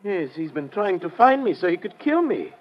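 High-voiced, overwrought scientist. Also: male voice, big pitch swings, moderate pace. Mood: distraught. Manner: agitated, earnest. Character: nervous, overwrought male scientist in hiding. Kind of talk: 1950s radio adventure acting. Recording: dull old radio recording with noise.

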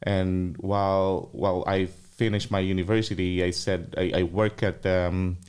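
A middle-aged man from the Philippines speaks in a very low, smooth and resonant voice. He answers interview questions casually, pausing often with fillers and restarting his sentences. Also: little pitch movement, a little mellow. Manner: hesitant, many fillers and restarts. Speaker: restaurant owner, guest.

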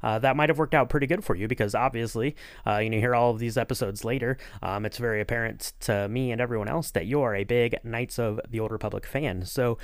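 A man's voice: mid-range voice, quick pace, few pauses, dry recording, studio quality. A man hosting a podcast interview in a casual, rambling, informal manner. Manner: rambling, informal, often saying you know.

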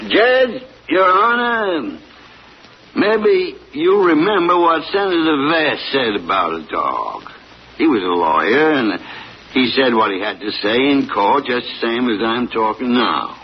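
An actor playing an old rural farmer on trial, talking in a slow, plain, earnest drawl. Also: male voice, medium pitch, very animated. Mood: pleading. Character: old farmer on trial, defending his hound. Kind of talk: 1940s radio acting. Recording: dull old radio broadcast, faint hiss.

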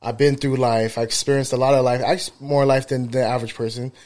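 A man's voice: mid-range voice, little pitch movement, fast talker, a little mellow. Rambling man in his thirties. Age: 30s. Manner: rambling storyteller, profane, frequent fillers. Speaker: podcast co-host, barber. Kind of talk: casual podcast conversation.

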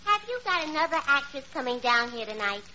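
High, bright, dim man-hungry gal. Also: big pitch swings, brisk, faint music behind, full character voice. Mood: breezy, naive. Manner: naive, matter-of-fact illogic. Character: dim, man-hungry, chatty young single woman. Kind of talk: 1940s radio comedy acting. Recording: dull, hissy 1940s radio recording.

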